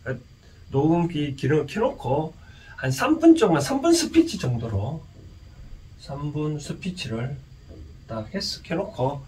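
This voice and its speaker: A man with a Gyeongsang Korean accent giving an informal explanatory lecture, speaking haltingly and often putting rhetorical questions to his listeners. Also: on the high side, very animated, a little mellow. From Gyeongsang region, South Korea. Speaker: lecturer and counsellor. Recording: distant mic with faint background noise.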